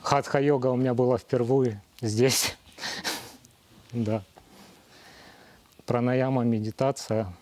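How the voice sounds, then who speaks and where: A man in his thirties speaks in a mellow, mid-pitched voice. He talks earnestly about his own yoga path and leans on allegory to explain it. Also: steady tone, takes it slowly, pauses often. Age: 30s. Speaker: retreat participant. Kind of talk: personal testimony.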